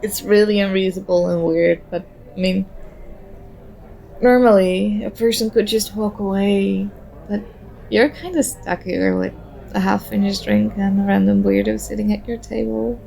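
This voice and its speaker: A woman acting as a shy young stranger in an intimate ASMR roleplay. She is nervous and self-conscious, rambling in hesitant, overthinking turns. Her mid-pitched voice often drops into a crackly fry. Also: fairly even intonation, moderate pace, mellow. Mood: awkward, apologetic.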